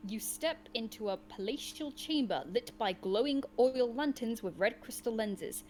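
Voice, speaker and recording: High-voiced woman reading a gamebook aloud. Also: animated, a little slow, a little mellow, slight background noise. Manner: dramatic storytelling, casual swearing asides. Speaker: game reader and host.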